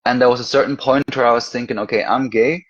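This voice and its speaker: A man's voice: medium pitch, steady tone, quick pace, highs cut off. A man from Europe, a guest author talking casually in an interview, chatty and laughing often.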